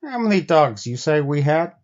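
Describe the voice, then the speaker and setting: A man's voice: fairly high pitch, big pitch swings, normal speed, muffled recording, voice pushed up. A man voicing an uneasy, grumbling frontier sled driver with folksy speech, in a storybook reading. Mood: agitated.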